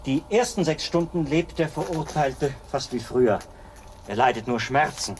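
A man acts a zealous executioner in a radio drama, explaining his torture machine with cold enthusiasm. The voice sits at a middle pitch, and the recording has clear noise around it. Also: animated, normal speed, lightly acted. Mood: coldly enthusiastic. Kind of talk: German radio play acting.

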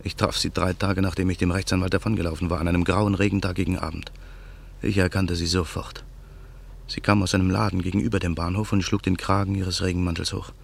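A man's voice: sits low, little pitch movement, fast talker, vocal fry, husky, full character voice. An actor playing a haunted man bent on revenge, narrating with brooding intensity in a radio play. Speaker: narrator and lead character.

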